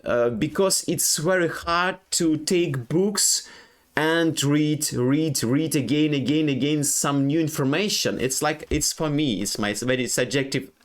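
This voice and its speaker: A young Ukrainian man with a Ukrainian accent, speaking English as a second language in a halting personal monologue full of fillers and restarts. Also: on the high side. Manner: halting, many fillers and restarts, non-native grammar. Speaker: streamer.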